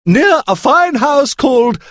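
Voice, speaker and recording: A man voicing a male toad who pretends to be a poor, pleading old washerwoman in an exaggerated storybook falsetto. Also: sing-song, slow, no echo, studio quality, full character voice. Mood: plaintive.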